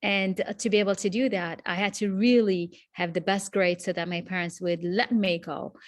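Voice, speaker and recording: A woman, answering questions as an interview guest in a reflective, measured way over a video call. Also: medium pitch, fairly even intonation, no room sound, clean studio sound. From Morocco. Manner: reflective, measured, with frequent restarts.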